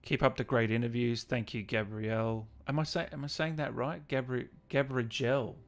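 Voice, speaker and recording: A man hosting a casual livestream, reading out viewer messages and thanking people with effusive, drawn-out, hyped shout-outs. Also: mid-range voice, steady tone, vocal fry. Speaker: livestream host and channel owner. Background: music playing behind the voice.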